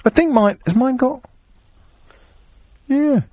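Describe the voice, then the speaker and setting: An English man hosting a live radio phone-in, chatty and bantering, quick to jump in. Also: very high voice, dark tone, no room sound.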